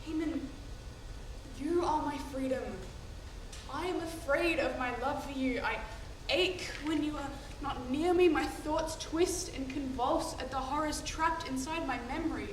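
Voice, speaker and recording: An actress playing a young, tormented, loving princess in a stage tragedy. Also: female voice, very high-pitched, animated, normal speed, a bit bright. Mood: tender, tormented. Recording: very echoey hall, distant mic, faint noise.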